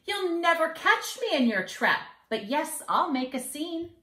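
A woman's voice: high-pitched, sing-song, unhurried, bright, forceful tone, roomy sound, voice pushed up, full character voice. A woman voicing a naughty, boastful little old leprechaun in a storybook, taunting in theatrical singsong rhymes. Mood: mischievous boasting. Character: naughty, boastful two-hundred-year-old little male leprechaun trickster. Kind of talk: storybook read-aloud. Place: living room at home.

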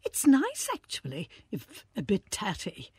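A snooty woman bargain hunter in a radio play. She is affected and self-satisfied, swooping widely in pitch with a husky edge. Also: wildly swooping pitch, unhurried, darkish tone, no echo, heavily acted. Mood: haughty. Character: haughty, bargain-hunting, snobbish woman charity-shop customer.

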